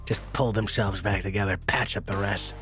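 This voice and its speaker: A man's voice: lowish voice, steady tone, a bit bright, soft background music, close to an everyday voice. Man playing a tough, dangerous man. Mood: cool taunting. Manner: measured, taunting. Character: tough, cool, dangerous man who feigns helplessness. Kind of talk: audio drama acting.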